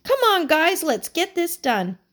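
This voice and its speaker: Woman reading a brisk, excitable young boy. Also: a little high, lightly acted.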